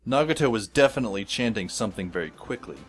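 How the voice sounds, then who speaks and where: A man's voice: fairly low pitch, lively intonation, fast talker, natural voice. A man playing a wry, observant teenage schoolboy who narrates an audio drama in a wordy, descriptive way. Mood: wry, intent. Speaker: first-person narrator. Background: music behind the voice.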